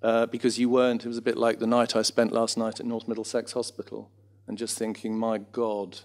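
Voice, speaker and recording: A man's voice: mid-range voice, monotone, fast talker. A middle-aged man speaking reflectively and discursively on a panel, self-deprecating, into a handheld microphone. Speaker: panellist, reminiscence arts practitioner and former actor. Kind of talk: informal panel talk. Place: small theatre stage in front of an audience.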